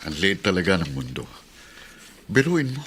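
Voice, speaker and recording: An actor playing a worldly, cynical middle-aged uncle in a radio drama. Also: male voice, sits low, very animated, normal speed, noisy background. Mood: sly, amused. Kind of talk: Tagalog radio drama acting.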